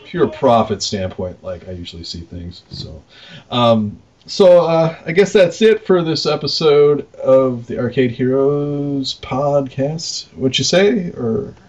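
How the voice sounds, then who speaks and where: A man's voice: medium pitch, expressive, slow pace, a little mellow, muffled recording. An American man co-hosting a podcast in casual chat, rambling along in a jokey, self-deprecating way. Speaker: podcast co-host.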